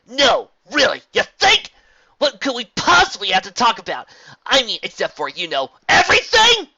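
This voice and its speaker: Brash young mare pegasus, put on by a male reader: loud, shrill, snappy and sarcastic; storybook reading, dull recording. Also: animated, a little fast, dry recording, heavily acted. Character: brash young female pegasus, hot-tempered.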